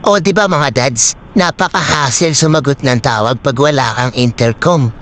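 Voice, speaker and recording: A man's voice: mid-range voice, normal speed, fairly bright tone, a little hiss or hum. A Filipino man speaking in an upbeat promotional vlog style, addressing his followers with peppy hype. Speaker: vlogger promoting a giveaway.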